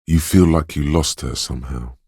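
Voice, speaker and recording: A man voicing an eerie, brooding inner voice of intuition in a video game, speaking in slow, hushed, cryptic pronouncements with dramatic pauses and a gravelly husk. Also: steady tone, no room sound, studio quality, exaggerated voice. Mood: mournful, haunted. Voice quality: very deep, gravelly, husky.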